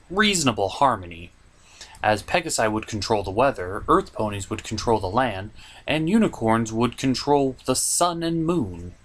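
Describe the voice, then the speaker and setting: A man voicing a theatrical, easily exasperated gentleman puppet who hosts a scripted comedic cartoon review. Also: medium pitch. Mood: bemused recapping. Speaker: host of an animation review show.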